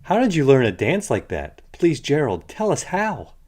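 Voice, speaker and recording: Man voicing loud, boisterous jungle animals. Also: mid-range voice, wildly swooping pitch, moderate pace, pitched above the performer's own voice. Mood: amazed.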